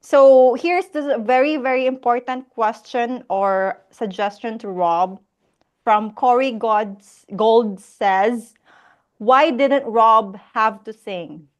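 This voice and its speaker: A woman's voice: a little high, slow. A Filipino woman hosting a casual live Q&A, reading out viewer questions and laughing often. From Philippines. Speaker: host of a live Q&A.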